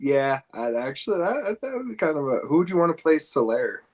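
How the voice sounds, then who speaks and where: A man's voice: on the high side, sing-song, brisk, darkish tone. A man hosting a podcast in casual conversation, posing questions and feeling out his phrasing. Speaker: podcast host.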